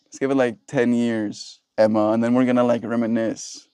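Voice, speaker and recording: A man's voice: medium pitch, little pitch movement, normal speed, warm tone, clear, resonant tone, no room sound. Young male streamer, native Afrikaans speaker from southern Africa, playful and rambling, dry recording. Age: 20s. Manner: playful, rambling, laughs often. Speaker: livestreamer. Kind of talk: casual chat with a stream audience.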